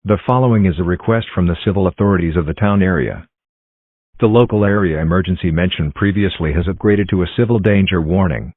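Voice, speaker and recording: A man's synthetic-sounding voice reading a formal emergency broadcast announcement in a flat, impersonal way. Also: low-pitched, no room sound. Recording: muffled narrow-band phone-like audio, dry.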